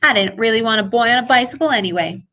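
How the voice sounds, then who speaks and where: A woman's voice: fairly high pitch, fast talker, sharp tone, a little hiss or hum. A woman voicing an eager, wishful and very polite little male puppy in a storybook. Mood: brushing off disappointment.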